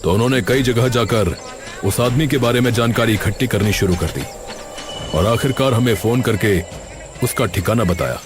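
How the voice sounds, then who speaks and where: A man's voice: medium pitch, moderate pace, lightly acted. Sober police inspector, a man acting, noisy background. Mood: grave, explaining.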